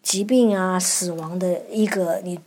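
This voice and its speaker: A woman's voice: lowish voice, fairly even intonation, unhurried, a little mellow. A woman hosting a radio interview in a conversational style. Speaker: radio programme host.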